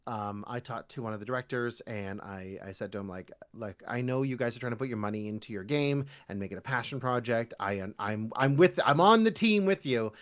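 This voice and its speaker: A man's voice: medium pitch, fairly quick, hardly any pauses. A man chatting casually as a podcast guest and voice actor, jokey and rambling with stop-start phrasing. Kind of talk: casual interview chat.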